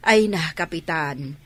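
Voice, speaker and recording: A woman's voice: deep voice, fairly bright tone, no echo, exaggerated voice. A woman acting a teasing, gossipy villager in an Ilocano comedy radio drama, speaking playfully.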